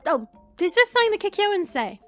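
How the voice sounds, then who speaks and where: The bouncy, high voice of a woman playing the party's playful tease in a fantasy audio roleplay, needling companions over faint music. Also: very animated, a little fast. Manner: teasing, playful. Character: cheeky young woman adventurer, teasing and nosy. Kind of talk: audio drama banter.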